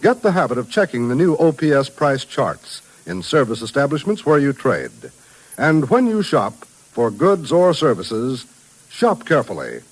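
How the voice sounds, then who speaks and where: A man's voice: medium pitch, huge pitch swings, moderate pace, rough recording. An American man announcing on 1950s radio, reading a scripted message with formal, emphatic delivery. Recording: vintage 1950s radio recording.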